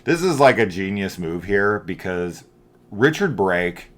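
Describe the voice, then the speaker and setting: Low-voiced man chatting about films. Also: sing-song, measured pace, fairly bright tone. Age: middle-aged. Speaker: film review host. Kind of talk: casual film review chat.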